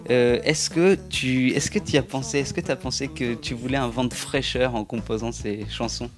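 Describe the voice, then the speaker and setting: A man in a mid-range voice hosts the interview, effusive and chatty. His questions ramble on at length, and music plays clearly behind him. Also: medium pitch, steady tone, normal speed. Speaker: interviewer and host. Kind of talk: enthusiastic casual interview.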